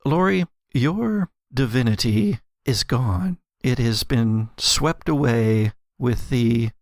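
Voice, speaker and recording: A man hosting and game-mastering an actual-play audio drama, telling the story in a measured, dramatic way. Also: medium pitch, lively intonation, no echo, studio quality.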